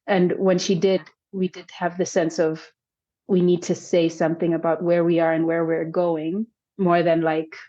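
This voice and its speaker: Low-voiced woman filmmaker, thoughtful. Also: level tone, moderate pace, mellow, muffled recording. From South Sudan. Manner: thoughtful, many restarts and hedges. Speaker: filmmaker guest. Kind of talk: reflective interview answers.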